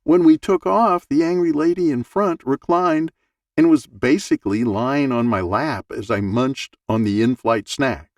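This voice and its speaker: A man in his sixties with a gravelly voice, reading a humorous first-person essay as an audiobook narrator in a wry storytelling manner. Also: mid-range voice, measured pace, no room sound, studio quality, distant mic. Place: small dark home recording booth, dry.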